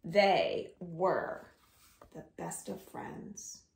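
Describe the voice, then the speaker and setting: A woman's voice: fairly low pitch, sing-song, background noise. A woman hosting storytime for young children indoors at home, asking simple questions as she reads aloud. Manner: slow, simple questions addressed to small children. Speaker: children's library storytime host. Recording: echoey room.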